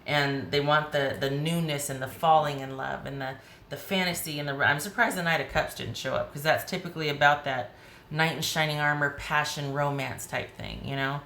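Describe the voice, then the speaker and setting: A woman with a hoarse voice giving a chatty, rambling tarot reading, often restarting her sentences. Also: low-pitched, fairly even intonation, moderate pace, a bit bright, reverberant, slight background noise. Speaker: tarot reader.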